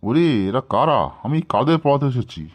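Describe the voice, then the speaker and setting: A man's voice: mid-range voice, animated, a little fast, lightly acted. A man playing an exasperated, easily angered schoolteacher in a comic cartoon, scolding and long-suffering.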